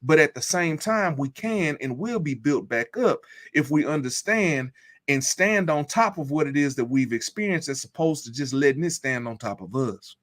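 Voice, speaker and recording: A man telling his own comeback story as a motivational speaker, earnest and measured, repeating key phrases. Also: a little high, lively intonation, fairly bright tone. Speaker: guest speaker.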